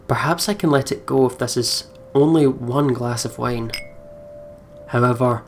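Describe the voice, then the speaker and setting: A woman let's player reading game narration aloud and commenting on it, expressive and giggly. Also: a bass voice, slight background noise. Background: game music.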